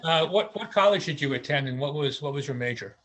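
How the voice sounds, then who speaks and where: A man hosting an interview show on a video call, friendly and a bit halting with fillers. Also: fairly high pitch, expressive, fairly bright tone. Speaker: interview host.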